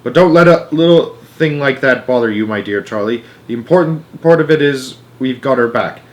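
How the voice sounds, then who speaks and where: A man voicing an excitable, eccentric candy maker from a storybook, breezy and theatrical, with whimsical emphatic phrasing. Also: mid-range voice, normal speed, close to an everyday voice. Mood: gleeful.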